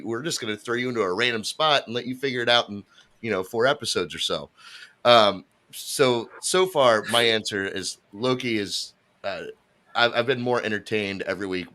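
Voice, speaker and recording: A man giving his take on a live discussion panel, measured and pausing on fillers. His voice sits low but comes out bright and forceful.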